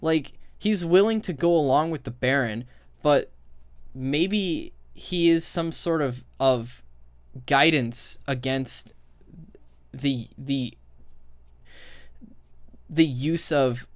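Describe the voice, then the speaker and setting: A man's voice: mid-range voice, dry recording. A man giving a casual, opinionated game critique, thinking aloud in a sweary, exasperated way. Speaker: video commentator.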